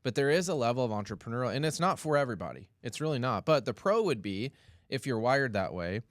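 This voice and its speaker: A man co-hosting a podcast, chatting in a quick, animated way and making light jokes. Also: medium pitch, no echo, studio quality. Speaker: podcast co-host. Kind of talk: casual podcast conversation.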